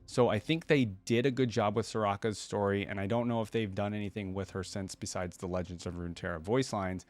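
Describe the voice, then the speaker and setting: A man's voice: on the low side, steady tone, fairly quick, dry recording. A man giving casual, unscripted commentary as a reaction video host, talking in a rambling, conversational way.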